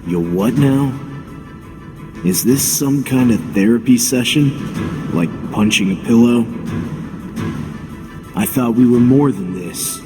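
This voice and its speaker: An actor playing a dry, skeptical warrior companion in a video game cutscene, with a wry, questioning manner. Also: male voice, mid-range voice, slow, long pauses, lightly acted. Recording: long hall reverb, music behind. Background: game music.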